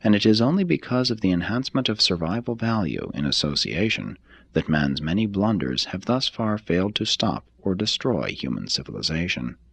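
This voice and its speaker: A man reading a formal text aloud as scripted narration, in a measured, deliberate manner. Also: low voice, big pitch swings, gravelly, breathy edge, dry recording. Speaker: audiobook reader.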